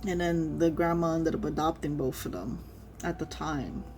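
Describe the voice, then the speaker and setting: A woman streamer reading a game story aloud and reacting casually, in an expressive manner. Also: sits low, moderate pace, soft background music.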